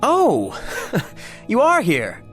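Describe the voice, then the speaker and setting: A mild, obliging man traveler in a radio play. He is courteous and a bit hesitant, with a lively pitch range over light music. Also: very slow, exaggerated voice. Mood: friendly. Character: helpful, mild-mannered man traveler with a briefcase. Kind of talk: radio drama acting.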